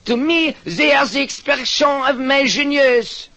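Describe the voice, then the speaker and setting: An actor playing a vain, florid little artist with a French accent in an old radio drama. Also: male voice, extremely high pitch, measured pace, sharp tone, muffled recording, heavily acted. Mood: boastful. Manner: florid, formal, self-important. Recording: noisy vintage radio recording.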